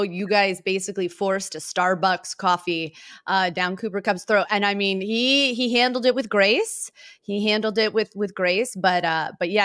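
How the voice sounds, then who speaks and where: A woman's voice: mid-range voice, a bit bright, no room sound, clean studio sound. A woman hosting a casual sports interview, chatty and informal in the way she talks. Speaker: sports show host interviewing a guest.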